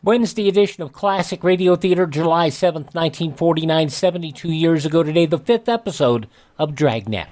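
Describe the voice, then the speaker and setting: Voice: mid-range voice, fairly quick, few pauses, darkish tone. A radio host reading scripted segments in a folksy way, dropping in personal asides.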